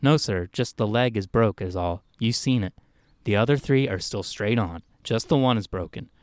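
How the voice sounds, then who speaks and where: A man's voice: on the low side, moderate pace, a little mellow, no echo, close to an everyday voice. A man voicing a nervous, defensive employee who protests earnestly and insistently in a spoken short story. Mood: pleading.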